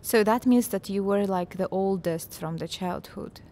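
A woman's voice: medium pitch, normal speed, warm tone, a little hiss or hum. A woman hosting an interview in a studio, asking questions and affirming with short replies. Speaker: podcast host. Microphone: boom-arm studio mic.

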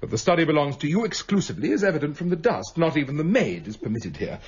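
A haughty detective speaks with a husky, breathy edge. His voice leaps up and down as he reels off one crisp deduction after another. It comes through a dull, lo-fi radio broadcast. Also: on the high side, sing-song, fast talker. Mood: lecturing. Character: brilliant, imperious male detective. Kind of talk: BBC radio drama acting.

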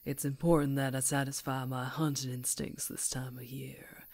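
A woman playing a female werewolf in an intimate ASMR roleplay, predatory yet tender, coaxing in a breathy voice that often drops to whispers. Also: rumbling low, level tone, normal speed, darkish tone. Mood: soothing, tender.

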